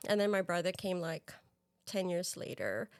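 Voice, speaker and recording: A woman's voice: fairly low pitch, very animated, normal speed, clean tone, no room sound. A woman from Singapore chatting casually as a guest on a podcast. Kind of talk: casual chat.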